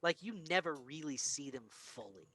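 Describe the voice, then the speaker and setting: A man's voice: on the high side, expressive, moderate pace, bright, forceful tone. A man hosting a casual film discussion podcast, talking in an enthusiastic, emphatic way. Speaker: podcast host.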